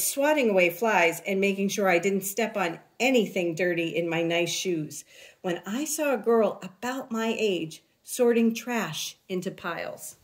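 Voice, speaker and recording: A woman reads a children's story aloud in a mid-range voice. Her pace is unhurried and deliberate. She is expressive, leaving dramatic pauses between phrases. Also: mid-range voice. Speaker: picture book reader.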